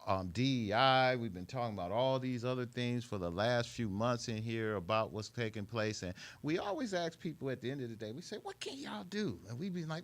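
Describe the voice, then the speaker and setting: A man's voice: mid-range voice, fairly bright tone. A man hosting a live community radio talk show, who often repeats his phrases for emphasis. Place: radio studio.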